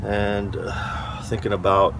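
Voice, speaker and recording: A man's voice: fairly low pitch, monotone, slow, a bit bright. A man with a stuffy nose and sore throat, talking in a rambling, frank way in a casual vlog from a parked van. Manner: rambling, frank, stop-start. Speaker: van life vlogger. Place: parked van outdoors, windy lot. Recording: outdoor wind and traffic noise.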